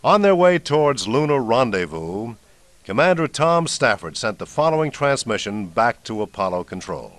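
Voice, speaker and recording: A man's voice: medium pitch, very animated, normal speed, noisy background. An American man reading scripted narration as the host of a radio documentary.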